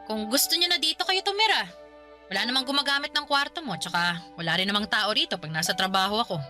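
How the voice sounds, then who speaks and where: An actress playing a stern but caring older sister in a radio drama, blunt and scolding with sharp retorts. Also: female voice, fairly high pitch, lively intonation, fast talker, faint music behind. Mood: relenting. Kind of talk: Filipino radio drama acting.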